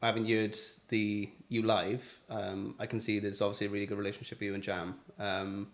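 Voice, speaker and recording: Male host, slightly low voice, loose chatty questions. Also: little pitch movement, a little fast. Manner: friendly, rambling questions with fillers. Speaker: podcast host. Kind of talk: casual interview chat.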